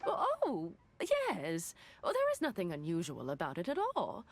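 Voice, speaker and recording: An actress playing an eager, inquisitive, gossipy female merchant in a video game, with an animated, polite and teasing manner. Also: fairly low pitch, a little slow, slight background noise, full character voice.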